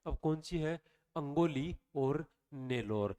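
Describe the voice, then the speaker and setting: A man's voice: fairly high pitch, animated, slow pace, warm tone. Man quizzing through a revision lecture. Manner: quizzing listeners with repeated questions and answers. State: slightly sore throat. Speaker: exam coaching teacher.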